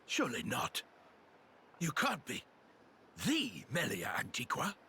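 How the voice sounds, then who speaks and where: A man's voice: medium pitch, huge pitch swings, slow, pushed and bright, slight background noise, heavily acted. A man playing an earnest, loyal warrior in a video game, formal and theatrical in his speech. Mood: shocked, reverent. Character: excitable, loyal male warrior, reverent of royalty. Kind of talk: video game cutscene acting.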